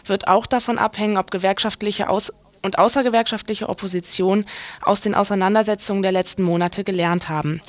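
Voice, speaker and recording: A woman's voice: mid-range voice, dry recording. A woman presents a radio programme in a mid-range voice. She reads out announcements informally and chattily, tripping over the odd word. The studio sound is dry and close. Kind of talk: casual live programme announcement.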